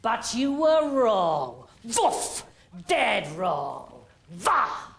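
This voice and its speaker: A woman's voice: on the high side, big pitch swings, creaky, a bit bright, heavily acted. Woman playing a maniacal jealous king. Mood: menacing. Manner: slow menacing taunts, breaking into shrieks. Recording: music playing behind.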